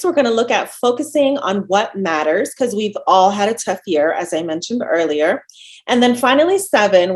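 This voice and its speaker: A woman from Jamaica with a Jamaican accent, a dietitian giving an upbeat, friendly webinar presentation over a video call. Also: mid-range voice.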